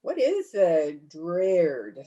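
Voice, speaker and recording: A woman's voice: on the low side, huge pitch swings, extremely slow, warm tone, highs cut off, exaggerated voice. A woman playing a blunt, disapproving, bitter family friend in an old-time radio play. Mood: puzzled, critical. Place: home, over a video call.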